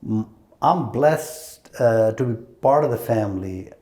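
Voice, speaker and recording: A man's voice: fairly low pitch, lively intonation, unhurried, dark tone. A middle-aged man from Pakistan, an interview guest telling his story candidly, hesitant with many fillers. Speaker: restaurant franchise owner, guest.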